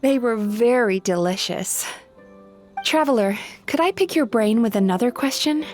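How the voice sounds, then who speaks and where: A woman plays a gentle young dream healer from a video game. The voice is fairly high, composed and reassuring, with measured, polite phrasing over clear background music. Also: lively intonation, natural voice. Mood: relieved, playful. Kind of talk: video game dramatic acting.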